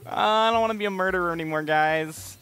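A man's voice: sits high, brisk, sharp tone, clear, resonant tone, faint music behind. A man doing casual gaming commentary, joking, teasing and swearing freely. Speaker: let's play host.

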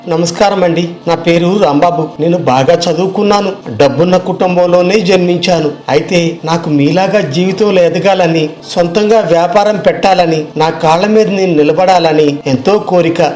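A man's voice: high voice, moderate pace, few pauses, darkish tone, natural voice. A man playing a polite, ambitious job seeker in a moral story, earnest and deferential, recorded with an echoing sound. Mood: eager.

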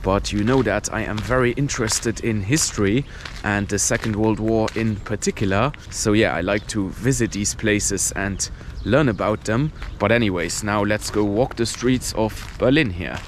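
A man in his thirties from Luxembourg, narrating a walking travel vlog in a relaxed, chatty way, filling pauses with "and yeah". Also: on the low side. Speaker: travel vlogger. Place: outdoors on cold city streets. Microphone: handheld camera at arm's length. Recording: outdoor street noise, traffic.

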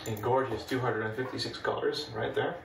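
A man's voice: medium pitch, fairly quick, vocal fry, background noise. Dutch-accented man in an echoey room. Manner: relaxed, chatty, explains step by step. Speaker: retro computing video host. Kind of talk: casual hardware demo narration. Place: room with old computers. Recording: echoey room, mic at a distance.

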